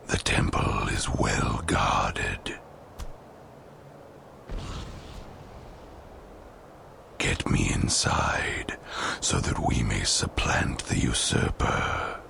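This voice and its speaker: A man voicing an ancient, regal ghostly hero in a video game, with a breathy rasp and solemn, theatrical pronouncements. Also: a bass voice, fairly bright tone, a little hiss or hum, full character voice. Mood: ominous, commanding. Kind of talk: video game cutscene acting.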